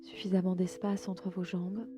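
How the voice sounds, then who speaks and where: A woman's voice: lowish voice, flat delivery, normal speed. Soothing woman guiding relaxation over music. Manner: soothing, gently inviting, soft phrase endings. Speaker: host and yoga nidra guide. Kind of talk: guided relaxation narration. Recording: music bed behind the voice.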